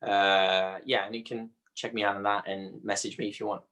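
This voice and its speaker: A man with a Brazilian Portuguese accent, a native Portuguese speaker, talking as an astrologer guest in an interview and pausing often to search for words. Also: lowish voice, little pitch movement, pushed and bright. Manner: halting, searching for words with frequent pauses. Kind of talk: conversational interview.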